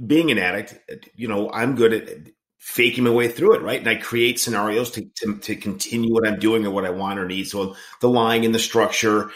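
A man's voice: fairly low pitch, steady tone, fairly quick, a bit bright, slight background noise. A man in his late forties hosting a casual interview, talking in an earnest, rambling way with frequent restarts. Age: 40s. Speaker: podcast host in recovery.

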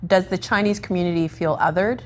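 Measured woman host with a lowish voice. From Africa. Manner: measured, scripted introductions. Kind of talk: broadcast interview.

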